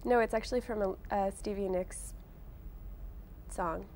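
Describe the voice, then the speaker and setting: A woman's voice: medium pitch, fairly even intonation, measured pace, darkish tone, a little hiss or hum. A woman in her late twenties talking casually as an interview guest, wry, self-deprecating and chatty. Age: late 20s. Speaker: author and blogger guest.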